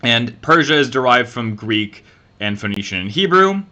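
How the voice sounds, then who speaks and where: A man's voice: medium pitch, very animated, normal speed, pushed and bright, muffled recording. A young man with a Southern US accent hosting his channel, explaining history in a casual, chatty way with frequent ums. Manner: chatty, conversational, many ums and uhs. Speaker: YouTube channel host.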